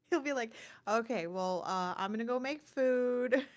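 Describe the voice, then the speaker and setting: A woman's voice: on the high side, a little slow. A woman co-hosting a gaming news show, chatting casually and informally. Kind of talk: casual chat.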